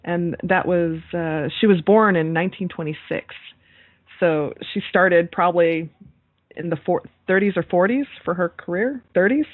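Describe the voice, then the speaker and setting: A woman's voice: lowish voice, normal speed, no room sound. A woman co-hosting a podcast, chatting casually about horror movies in an emphatic, exclamatory way, with casual swearing. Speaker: podcast co-host. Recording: narrow phone-like call audio, dry.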